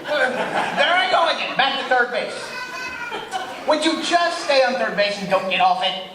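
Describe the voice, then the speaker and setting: High, flustered man playing confused radio comic. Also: sing-song, normal speed. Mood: exasperated. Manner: insistent, questioning, flustered. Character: increasingly exasperated, confused comic of a double act. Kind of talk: vintage radio double-act routine. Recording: echoey room, distant mic, faint noise.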